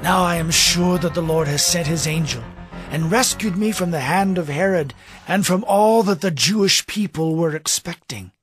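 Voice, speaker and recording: An actor playing an earnest apostle in an audio Bible drama, speaking in declarations. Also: male voice, a little high, moderate pace, breathy edge, dry recording, faint music behind. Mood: earnest relief.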